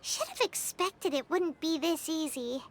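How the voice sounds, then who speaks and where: A woman voicing a small, childlike fairy companion in a video game, squeaky and perky, curious and a bit bossy. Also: unhurried. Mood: pondering. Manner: perky, expressive. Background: music.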